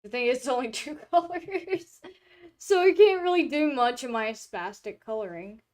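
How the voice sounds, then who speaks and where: A young woman streamer in casual chat, rambling with many fillers and restarts, her throat a little dry and hoarse, talking from a room at home. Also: high-pitched, lively intonation, moderate pace, darkish tone. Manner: rambling, chatty, lots of filler words and restarts. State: throat drying, slightly hoarse.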